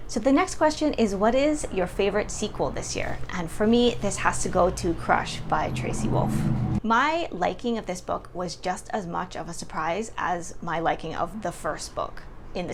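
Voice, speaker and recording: A woman's voice: lowish voice, lively intonation, normal speed. A young adult woman giving a casual, chatty book review as a vlogger talking to her audience. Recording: clearly audible background noise.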